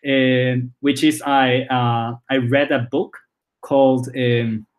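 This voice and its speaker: A man in his twenties, born in China, with a Spanish accent and Chinese as his first language, speaks as a startup founder in a casual interview, halting often with repeated words and fillers. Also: mid-range voice, level tone. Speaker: startup founder and CEO, guest.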